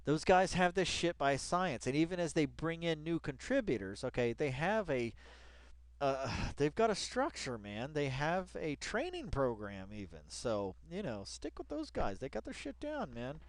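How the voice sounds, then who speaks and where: A man's voice: a little high, sing-song, moderate pace, dry recording. A man hosting a casual read-along commentary in slangy, colloquial speech, emphatically repeating phrases and addressing people directly. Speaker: online video host.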